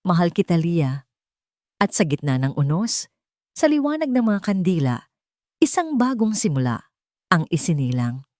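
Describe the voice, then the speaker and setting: Slightly low-voiced woman narrating romance drama. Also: sing-song, normal speed, long pauses, a little mellow, no room sound, studio quality.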